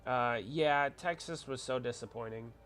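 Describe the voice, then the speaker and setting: A man's voice: medium pitch, normal speed, a bit bright. Man, congested, stuffy cold voice, casual livestream host, rambling chat, faint music behind. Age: adult with a wife. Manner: chatty, rambling, reads out viewer comments.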